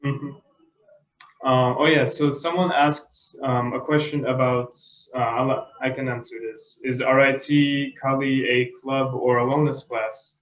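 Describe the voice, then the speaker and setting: A man's voice: medium pitch, steady tone, unhurried. A man hosting a martial arts club's online Q&A over a video call, hesitant with many fillers. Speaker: club host and moderator. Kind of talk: moderating a Q&A. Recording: echoey room.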